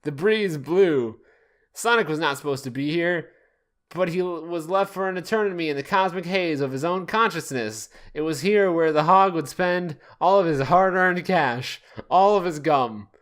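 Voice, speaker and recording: A man's voice: on the high side, normal speed, fairly bright tone. A man hosting a podcast, reading fan fiction aloud in a casual comedic way and stumbling over the odd word. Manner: often breaks into laughter, stumbles over words. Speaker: podcast host.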